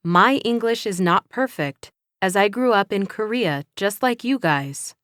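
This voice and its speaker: A woman's voice: medium pitch, animated, a bit bright, clean tone, no room sound, studio quality. Woman playing a firm, encouraging English teacher. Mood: instructive. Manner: slow, careful, instructive delivery. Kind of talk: scripted classroom dialogue.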